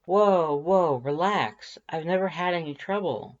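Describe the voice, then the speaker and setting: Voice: medium pitch, unhurried, lo-fi sound, voice pushed up, lightly acted. A narrator voicing an apologetic young woman with a casual, hesitant, awkward manner. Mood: soothing.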